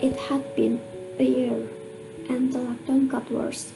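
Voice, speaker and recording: Radio drama narrator: woman, high creaky voice, scripted storytelling. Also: level tone, unhurried, low-quality recording. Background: music.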